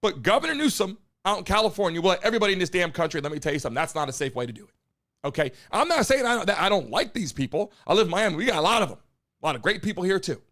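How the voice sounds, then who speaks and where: A man hosting a live stream from his studio, talking loudly and bluntly and hyping up his chat. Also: high-pitched, big pitch swings, very fast. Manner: hype-man shouting at the chat. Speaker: live-stream show host. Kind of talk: loud, brash live-stream commentary. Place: home studio in Miami.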